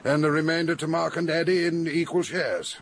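An actor playing a wealthy, strong-willed older English gentleman in a radio drama, speaking bluntly and formally. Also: on the high side, a little fast. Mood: firm, defiant.

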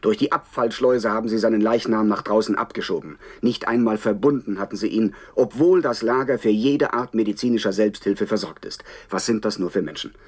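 An actor playing an indignant, scornful television reporter giving dramatic running commentary in a German radio play. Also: male voice, on the low side, brisk, hardly any pauses, breathy edge. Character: indignant, scornful male TV reporter at an internment camp.

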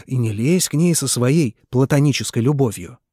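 A man voicing a brash young male army veteran who argues loudly and scornfully with his rival, in a husky voice. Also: medium pitch, animated, moderate pace, dry recording, studio quality. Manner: loud, scornful, shouting.

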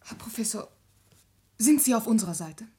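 A woman's voice: a little high, animated, normal speed, dark tone, lightly acted. A woman playing a devoted, earnest young fiancée in a radio play, speaking politely with some worry. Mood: earnest, anxious. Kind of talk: German radio play acting.

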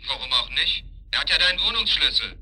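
A man's voice: mid-range voice, animated, brisk, a crowd in the background, natural voice, rough recording. An actor in a radio drama playing a bitter, sarcastic man out of work, mocking and curt with his former lover. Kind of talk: naturalistic German radio drama acting.

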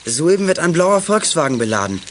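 A young male actor playing an eager schoolboy detective in a children's radio play, brisk and keen. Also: fairly high pitch, sing-song, very noisy. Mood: brisk, alert. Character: eager schoolboy amateur detective with walkie-talkie.

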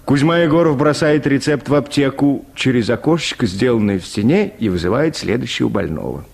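A man's voice: mid-range voice, expressive, fairly quick, creaky, a little mellow. A man narrating a dramatized literary short story for radio, in scripted prose.

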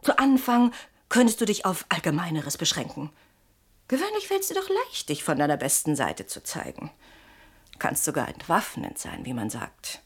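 An actress playing a sleepless, needling wife in a German radio play, probing with theatrical, ironic sweetness. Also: female voice, fairly low pitch, huge pitch swings, normal speed, slightly rough, exaggerated voice. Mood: coaxing.

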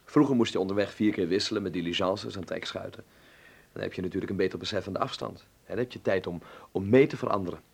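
An actor playing an earnest, eager young Dutchman in a radio drama, expressive and a little hesitant. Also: deep voice, fast talker, creaky, husky, exaggerated voice. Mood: uneasy.

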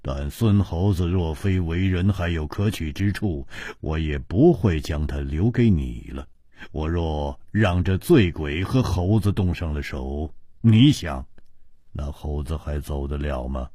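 A man's voice: extremely low pitch, expressive, unhurried, dark tone, slightly rough, no room sound, pitched below the performer's own voice, exaggerated voice. A man voicing a cool, sardonic, understated martial arts comrade in a dry, unruffled way. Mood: cool, amused.

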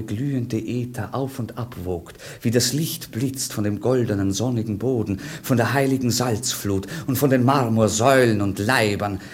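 A medium-pitched young prince played by a man from a 1950s radio play. He is bored, dreamy and melancholic, speaking in a poetic, declamatory, effusive manner. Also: lightly acted. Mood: rapturous. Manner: declamatory, poetic, world-weary.

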